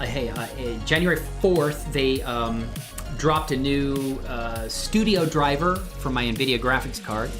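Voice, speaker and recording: A woman hosting a live software tutorial, chatting casually and thinking aloud as she demonstrates. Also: rumbling low. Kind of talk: casual tutorial livestream chat. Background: music playing behind the voice.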